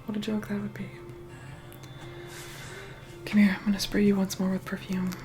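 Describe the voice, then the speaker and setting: A woman playing a reluctant, haughty vampire in a whispered ASMR roleplay, hushed, bossy and begrudging. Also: medium pitch, completely flat delivery, normal speed, faint music behind, natural voice.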